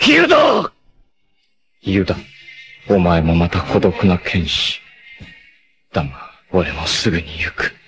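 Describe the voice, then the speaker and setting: A man voicing a proud young warrior in an anime, making solemn, defiant declarations in a low, intense voice with sudden high battle shouts. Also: huge pitch swings, slow pace, gravelly, long pauses, exaggerated voice. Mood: grave. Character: proud long-haired young male warrior, grim and devoted. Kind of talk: 1980s anime dub acting. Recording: noisy old TV soundtrack.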